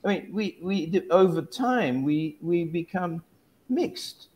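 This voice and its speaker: A man's voice: sits high, expressive, takes it slowly, a little mellow. Older male academic, rambling and self-correcting, casual talk over a video call from a home study. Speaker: guest academic.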